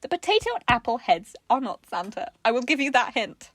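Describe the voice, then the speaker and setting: A woman's voice: on the high side, sing-song, fairly quick, a bit bright, no room sound. A woman hosting a casual comedic craft chat, giggly and playfully mocking as she talks. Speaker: craft show host.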